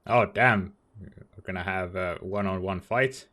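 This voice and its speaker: A man with a low voice hosts a let's play in a casual, offhand way. His reactions are understated, and there is faint noise behind him. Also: a little slow, pushed and bright. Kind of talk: casual game commentary.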